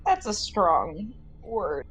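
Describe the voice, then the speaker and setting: A woman voicing an emotionless android in a tabletop game, speaking in a flat, deliberate, clipped way. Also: medium pitch, husky, voice pushed down, heavily acted. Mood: coolly defensive. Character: emotionless malfunctioning android among marines, coolly defensive.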